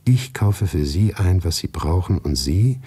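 A man's voice: sits low, animated, unhurried, warm tone, breathy edge, pitched above the performer's own voice, exaggerated voice. A man voicing a curious, pushy but kindly small young lady in a radio play, with an insistent, coaxing manner.

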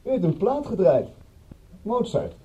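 A man's voice: sits high, very animated, a little slow, dark tone, highs cut off, heavily acted. A man acting in a radio play as a polite, obliging Frenchman, courteous and embarrassed as he apologises.

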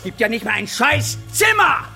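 High, sharp, belligerent male reporter. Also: sing-song, moderate pace, vocal fry, faint music behind, exaggerated voice. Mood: sneering, bitter. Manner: aggressive, sarcastic. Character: belligerent, foul-mouthed, sneering male war correspondent. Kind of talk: German radio play acting.

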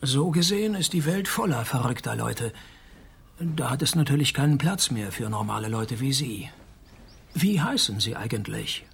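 An actor playing a wry private detective who narrates and talks in a dry, sarcastic deadpan in a radio play. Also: male voice, medium pitch, normal speed, creaky, slight background noise. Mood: mocking deadpan. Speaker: narrator and lead character.

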